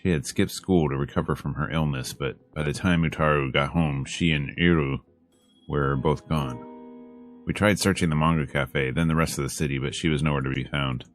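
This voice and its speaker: Very deep-voiced man reading over music. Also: fairly even intonation, normal speed. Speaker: streamer reading a visual novel aloud. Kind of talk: dramatic read-aloud with joking asides. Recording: music behind the voice. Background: game music.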